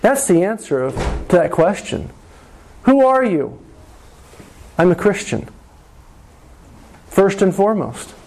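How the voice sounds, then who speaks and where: Male pastor, sermon, high voice, slow deliberate delivery, repeats lines for weight. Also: very animated, a little mellow. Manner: slow, deliberate preaching with dramatic pauses and repetition.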